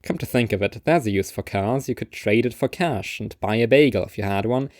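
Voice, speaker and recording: Male narrator for literary short fiction, lower-pitched, closely recorded without room sound. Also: fairly quick, a little mellow, no echo, studio quality. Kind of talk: scripted second-person story narration.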